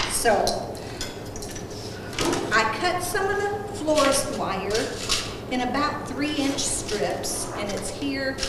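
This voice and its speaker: A woman demonstrating a holiday craft in a casual, plain-spoken, instructive way at a senior center. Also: fairly high pitch. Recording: echoey far-off room with loud noise.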